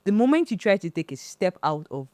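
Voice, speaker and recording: Lively young Nigerian woman telling stories. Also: medium pitch, a little fast, dry recording. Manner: animated storytelling, acting out quotes. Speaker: podcast co-host. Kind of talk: casual chat.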